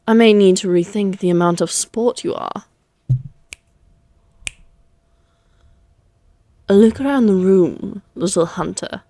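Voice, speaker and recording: A woman's voice: mid-range voice, darkish tone, dry recording, natural voice. A woman playing an elegant, condescending female vampire in a Victorian fantasy audio drama, speaking in a poised, archly formal, teasing manner. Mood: mocking, amused. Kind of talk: scripted roleplay audio drama monologue.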